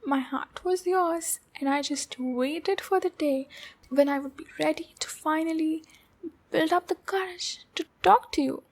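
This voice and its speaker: A woman playing a shy, lonely, obsessive demon girl in love, speaking slowly and intimately in an ASMR roleplay with a breathy, halting delivery. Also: high-pitched. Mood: lovesick, sheepish. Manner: slow, breathy, halting, with soft giggles.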